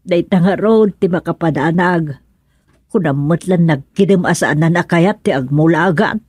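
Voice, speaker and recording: A woman's voice: lowish voice, moderate pace, a little mellow, breathy edge, no room sound, studio quality. A woman with an Ilocano accent playing a fretful older aunt in a radio drama, worried and plaintive, sighing as she talks. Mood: anxious. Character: fretful older aunt anxious over her ailing husband.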